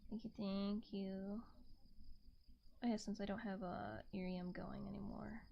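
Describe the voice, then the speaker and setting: A woman streamer chatting softly and gently in an ASMR style, often slipping into a whisper, close to a binaural microphone. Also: mid-range voice, little pitch movement, measured pace. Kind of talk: soft ASMR livestream chat. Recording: muffled close binaural mic, clear background noise, clicks.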